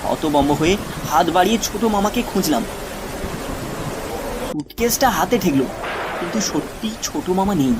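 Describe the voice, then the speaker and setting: A man's voice: sits high, animated, normal speed, long pauses, background noise. A man reading a scripted ghost story as a dramatic narrator.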